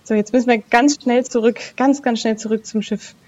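A woman's voice: fairly high pitch, fairly even intonation, fairly quick, warm tone. A woman playing an audio role-playing game, improvising casually in a chatty, flustered way on a slightly dull recording.

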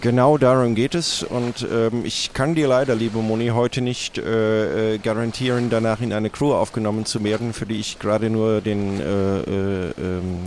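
Flustered acting pirate captain played by a man, low register, formal and halting, for improvised tabletop adventure. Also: fairly even intonation, a little mellow, a little hiss or hum, close to an everyday voice. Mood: hesitant, formal. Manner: halting, hesitant, wordy.

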